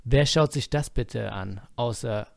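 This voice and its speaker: A man's voice: medium pitch, unhurried, gravelly, dry recording. A man hosting a podcast who chats casually, reads out listener messages and teases his co-host with dry humor.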